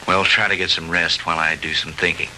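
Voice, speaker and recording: Low-voiced, earnest captive hero. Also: male voice, little pitch movement, fast talker, pushed and bright, a little hiss or hum, rough recording. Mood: weary, tender resolve. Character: protective, determined male captive plotting escape, apologetic to sweetheart. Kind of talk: 1960s B-movie drama acting. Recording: old film soundtrack, music underneath.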